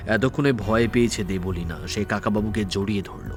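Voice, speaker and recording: A man's voice: on the low side, moderate pace. A man reading scripted dramatic narration for an audio story. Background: faint background music.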